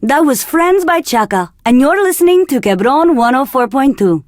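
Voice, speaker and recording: Game radio DJ: seductive, self-assured, slightly high, very close and dry. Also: female voice, lively intonation, moderate pace, a little mellow, no room sound. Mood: flirty, upbeat. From Argentina. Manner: sultry, seductive, teasing. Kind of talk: scripted game radio DJ patter.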